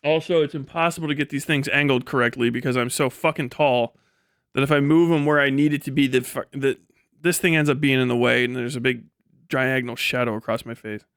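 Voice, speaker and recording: A man's voice: mid-range voice, steady tone, a little fast, fairly bright tone, smooth, no room sound, clean studio sound. A man in his late thirties hosting a casual livestream, rambling and swearing freely with a self-deprecating manner. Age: late 30s. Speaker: livestream host.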